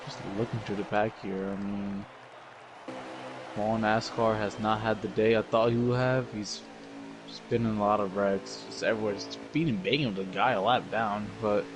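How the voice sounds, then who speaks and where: A man's voice: fairly low pitch, steady tone, moderate pace, music in the background. A man co-commentating on a sim race in a casual, tentative way, thinking aloud about strategy.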